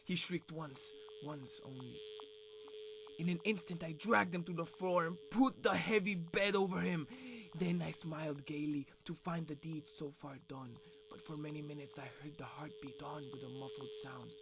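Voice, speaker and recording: A man's voice: fairly high pitch, normal speed, noisy background, natural voice. A man playing a nervous, mad murderer who insists he is sane, in a theatrical, intense gothic horror monologue. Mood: frenzied. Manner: theatrical, intense, shifting between whispers and outbursts.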